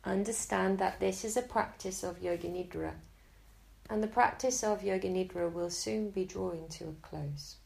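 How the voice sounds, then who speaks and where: A woman guiding a Yoga Nidra relaxation in a slow, soft and soothing way. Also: medium pitch, vocal fry, rough recording. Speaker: meditation guide.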